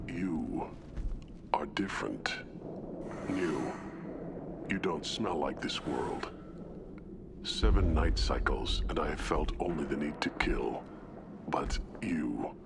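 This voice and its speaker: A rumbling, newborn alien brute. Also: male voice, expressive, heavy background noise, heavily acted. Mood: solemn, halting. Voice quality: very deep, gravelly, breathy growl. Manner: halting, simple, broken phrases. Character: week-old tank-bred reptilian alien brute, solemn, childlike, resolute. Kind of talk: dramatic video game acting.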